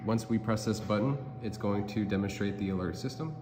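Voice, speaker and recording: A young man with a fairly low voice presents a student project. The recording has long reverb and a faint hum behind him. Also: fairly quick. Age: young adult. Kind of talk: prepared presentation and product demonstration. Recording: hollow hall reverb, background hum.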